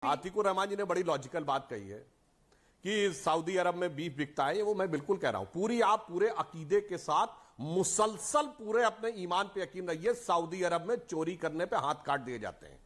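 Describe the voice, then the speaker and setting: A man's voice: high-pitched, lively intonation, moderate pace, a bit bright. A man on a television debate panel making his case with rhetorical questions and long lists of points. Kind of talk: heated TV debate argument.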